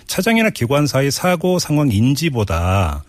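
Male radio interviewer with lively, swooping intonation. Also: mid-range voice, normal speed, no room sound. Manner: frequent backchannels, pressing follow-up questions. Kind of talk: probing news interview.

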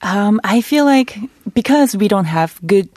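A woman's voice: medium pitch, animated, unhurried, darkish tone, no echo. A woman from Korea, a comedian guest, explaining her views thoughtfully with frequent ums. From South Korea. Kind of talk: interview answers.

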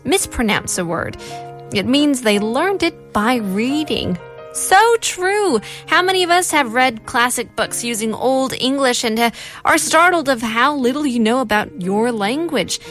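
A woman's voice: sits high, a bit bright. A woman hosting a radio segment, reading an essay and then chatting with her co-host, laughing easily. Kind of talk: scripted reading then casual chat.

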